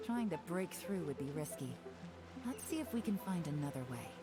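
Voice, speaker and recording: Cool low-voiced spy boss, calm and wry, loud game music behind. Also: female voice, normal speed. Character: cool, sly adult female spy leader.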